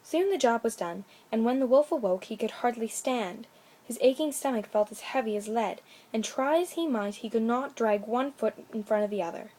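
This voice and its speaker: A woman with a fairly high voice reads a children's story aloud. She narrates expressively, in the manner of a bedtime storyteller. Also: normal speed, mellow.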